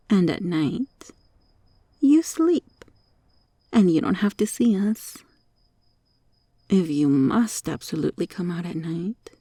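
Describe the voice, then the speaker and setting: A woman voicing a sly, teasing monster cockroach girl in an ASMR roleplay, taunting and coaxing with deliberate, sometimes whispery delivery. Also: on the low side, vocal fry, mellow, husky, no room sound, clean studio sound, heavily acted.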